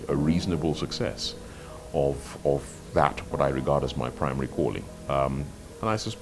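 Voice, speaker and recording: An older man from Nigeria, an author answering interview questions in a measured, articulate way, often repeating words as he thinks. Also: a bass voice. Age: 50s or older. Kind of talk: reflective interview talk.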